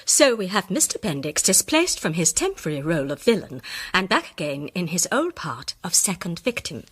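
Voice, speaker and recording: An incisive lady detective, lively intonation. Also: lowish voice, normal speed, slightly rough. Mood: assured, incisive. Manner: precise, assured, formal. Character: poised, incisive lady amateur detective, coolly contradicting. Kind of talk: British radio drama acting.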